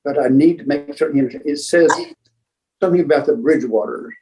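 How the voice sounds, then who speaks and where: An older man telling casual anecdotes as a guest book collector, in a rambling, halting way. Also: on the high side, dark tone, a little hiss or hum. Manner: rambling, halting raconteur who acts out remembered exchanges. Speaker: museum founder and book collector, guest storyteller.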